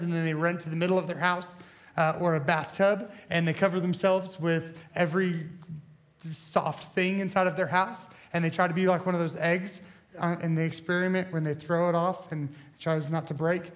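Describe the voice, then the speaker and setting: A young man preaches in a hoarse, scratchy voice, high-pitched for a man. He rambles and jokes at his own expense, stumbling through fillers and restarts as he talks to a church crowd. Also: steady tone, normal speed. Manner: rambling, self-deprecating, many fillers and restarts. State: hoarse from bronchitis. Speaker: student pastor. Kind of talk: casual joking sermon. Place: church hall.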